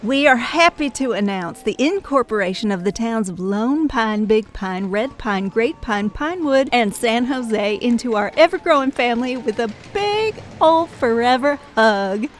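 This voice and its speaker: A woman's voice: on the high side, lively intonation, moderate pace, hardly any pauses, lightly acted. A woman playing a relentlessly cheery theme park spokesperson, giving a gushing, polished press conference speech. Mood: gushing cheer.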